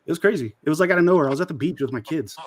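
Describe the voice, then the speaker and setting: A man's voice: on the high side, animated, rapid-fire, slightly rough. A man in his forties chatting casually and profanely in a group conversation. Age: 40s.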